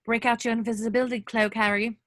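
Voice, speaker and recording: A woman's voice: fairly high pitch, level tone, quick pace, slight background noise, close to an everyday voice. A woman playing an earnest, bossy, clever teenage schoolgirl, speaking insistently in an amateur script read. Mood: brisk planning. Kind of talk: amateur online screenplay table read.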